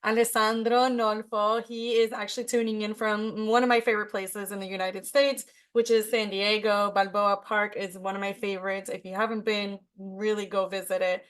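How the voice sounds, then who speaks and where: A woman's voice: mid-range voice, little pitch movement, normal speed, few pauses, smooth. A woman hosting a podcast interview, chatting in an upbeat, enthusiastic way. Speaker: podcast host. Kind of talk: casual interview chat.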